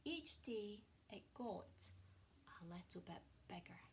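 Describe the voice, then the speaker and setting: A woman's voice: medium pitch, slow. Woman reading to children, faint background noise. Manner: expressive storytelling with questions to young listeners. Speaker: teacher reading a picture book to children. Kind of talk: children's story time read-aloud.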